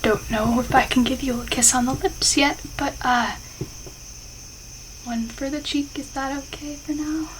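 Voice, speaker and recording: A woman acts out a bashful, lovestruck best friend in an intimate audio roleplay. The voice is fairly high and unhurried, halting and stammering with flustered affection. Crickets and night ambience are clearly audible behind it. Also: steady tone. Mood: shy, embarrassed. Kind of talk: intimate ASMR roleplay acting. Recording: clear insect and outdoor ambience noise.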